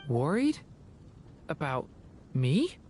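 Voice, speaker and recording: An actor voicing a gentle, earnest, apologetic young boy in a video game. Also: medium pitch, wildly swooping pitch, drawn-out words, slight background noise, exaggerated voice. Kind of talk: video game character dialogue.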